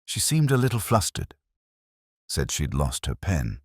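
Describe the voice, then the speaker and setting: An uneasy woman suspect in a mystery audiobook, read by a deep-voiced man. The delivery is hesitant and defensive, husky and full of shifting inflection. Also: huge pitch swings, unhurried, dry recording, studio quality, heavily acted. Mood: evasive. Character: uneasy, evasive woman confessing to a petty scheme.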